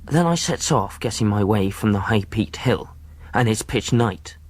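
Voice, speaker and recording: Low-voiced rustic seafaring narrator. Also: male voice, lively intonation, normal speed, no room sound. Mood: grave recounting. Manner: rustic dialect, earnest storytelling. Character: earnest seafaring lad recounting his adventure in rustic dialect. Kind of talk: dramatised first-person narration.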